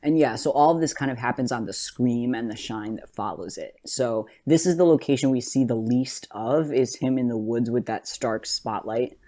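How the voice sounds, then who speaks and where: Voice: mid-range voice, moderate pace, few pauses, warm tone. A podcast host talking casually and conversationally through an analysis, rambling a little as they go. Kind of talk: casual video analysis talk.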